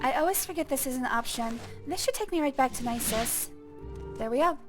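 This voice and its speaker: A woman's voice: high voice, lively intonation, a little fast, over music. A young woman who streams games, chatting casually and thinking aloud in a self-deprecating way as she plays. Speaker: gaming streamer. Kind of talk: casual gameplay commentary.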